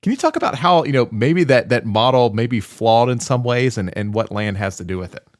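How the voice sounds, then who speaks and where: A man's voice: mid-range voice, expressive, fairly quick, no echo, studio quality. A man hosting a podcast, reading a scripted intro and then asking rambling questions with restarts. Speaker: podcast host.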